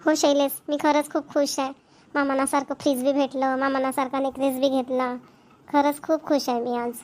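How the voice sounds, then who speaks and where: A woman's voice: high-pitched, little pitch movement, moderate pace, darkish tone, lightly acted. A woman voicing a proud young wife, with a pleased, chatty manner.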